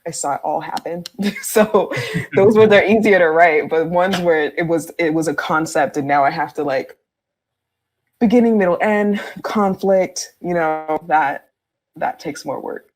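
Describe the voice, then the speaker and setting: A woman talking casually and candidly as a podcast guest, informal and quick to laugh as she speaks. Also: fairly low pitch. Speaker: fan fiction writer, podcast guest.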